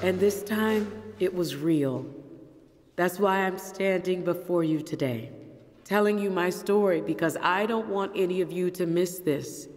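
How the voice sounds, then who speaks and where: Earnest, troubled churchgoing wife, played by an actress. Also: female voice, lowish voice, measured pace, darkish tone, soft background music, close to an everyday voice. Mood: earnest, resolved. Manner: earnest, confessional. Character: earnest, troubled pastor's wife confessing empty faith. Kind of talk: audio drama acting.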